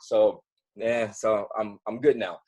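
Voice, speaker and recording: A man giving an informal, energetic motivational talk to a team on a video call, chatty and interactive. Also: on the low side, takes it slowly. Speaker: motivational team-training speaker.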